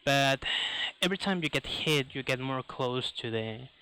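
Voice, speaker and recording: A man's voice: mid-range voice, animated, moderate pace, smooth, no echo, rough recording. A man giving live speedrun commentary in non-native English phrasing, talking through what he is doing as he plays. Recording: game music behind the voice. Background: loud video game music.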